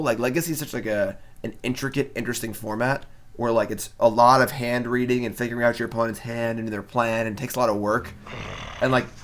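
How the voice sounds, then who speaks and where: A young man with a mid-range voice talks through his card game on a live stream. His delivery is relaxed and conversational, with wry asides and frequent fillers. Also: mid-range voice. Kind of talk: casual live-stream commentary.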